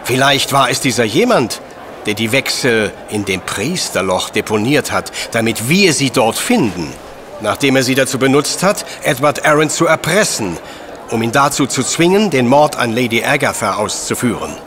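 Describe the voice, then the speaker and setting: An actor in a German audio drama playing a brilliant, self-assured professor detective with a precise, didactic, superior manner. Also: male voice, medium pitch, expressive, normal speed, fairly bright tone, slight background noise, natural voice. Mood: musing, deducing.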